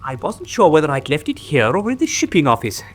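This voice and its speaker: An actor playing an eccentric, bookish young country doctor in a radio drama, eager, formal and pedantic. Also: male voice, on the high side, wildly swooping pitch, brisk, background noise. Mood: eager, pleased. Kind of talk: classic British radio drama acting.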